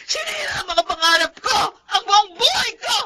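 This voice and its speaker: A woman's voice: extremely high pitch, sing-song, normal speed, bright, forceful tone, raised voice, a little hiss or hum, full character voice. An actress playing a bitter, wounded woman out for revenge in a Filipino melodrama, with anguished, emphatic delivery. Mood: anguished, accusing. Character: bitter, wounded, vengeful woman betrayed in love.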